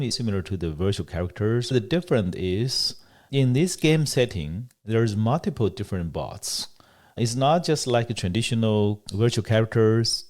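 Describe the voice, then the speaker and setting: A man whose first language is Chinese, walking through a software demo in a tutorial, explaining step by step with frequent pauses and self-corrections. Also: on the low side. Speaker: developer giving a product demo. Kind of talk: screen-recorded tutorial walkthrough.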